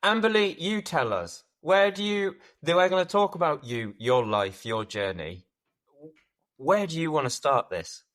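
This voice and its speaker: A man's voice: a little high, animated, a little slow, a bit bright. A man co-hosting a podcast, chatting casually with dry, teasing humour. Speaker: podcast co-host. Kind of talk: casual, bantering podcast chat.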